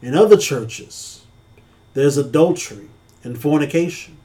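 A man's voice: a little high, lively intonation, measured pace, mellow. A man co-hosting a casual church-talk podcast, speaking earnestly with a preacherly cadence.

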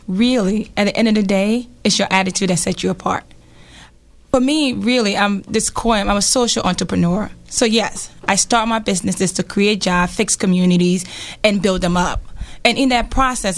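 A woman's voice: medium pitch, moderate pace. A woman panel guest giving motivational advice emphatically, repeating phrases for effect.

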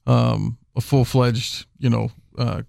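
Man, podcast guest, rambling, filler-heavy, mid pitch, dry close sound. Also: little pitch movement, slow pace, creaky, a little mellow, dry recording. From Houston area. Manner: rambling, full of fillers and restarts. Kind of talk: casual conversation.

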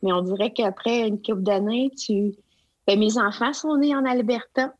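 A woman's voice: fairly high pitch, moderate pace, a little mellow, no room sound, highs cut off. Quebec French woman in her forties, hesitant, conversational, dry close sound. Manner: hesitant, many fillers and restarts. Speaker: guest, provincial education consultant. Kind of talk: casual interview conversation.

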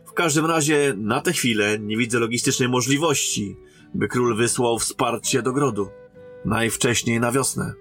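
Guy doing formal careful army captain, king's envoy in fantasy radio play, middling-low male voice, music under. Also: moderate pace, fairly bright tone, lightly acted. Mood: measured, formal. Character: formal, cautious male captain, a royal emissary. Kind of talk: fantasy audio drama acting.

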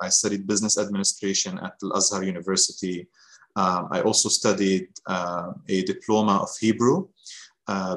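A man in his thirties from Gaza speaks in a somewhat low voice. He introduces himself on a webinar over a video call. He is earnest and halting, with frequent pauses. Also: fairly bright tone, poor audio. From Gaza, Palestine. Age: 30s. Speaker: guest fellow.

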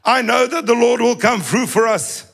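A man preaching a sermon in a sing-song manner that rises into shouts. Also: very high voice, normal speed, soft background music.

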